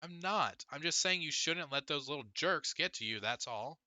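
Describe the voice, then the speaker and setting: A man's voice: on the high side, expressive, moderate pace, pushed and bright, no room sound, pitched above the performer's own voice. A man reading an audiobook as an exasperated, insistent teenage big sister, emphatic and pleading. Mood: stung, impatient. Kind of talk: audiobook character dialogue.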